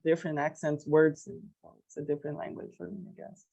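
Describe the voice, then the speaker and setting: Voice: mid-range voice, level tone, fast talker, creaky, mellow, muffled recording. Hesitant speaker with a Brazilian accent. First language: Portuguese. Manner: hesitant, searching for words. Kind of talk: informal academic discussion.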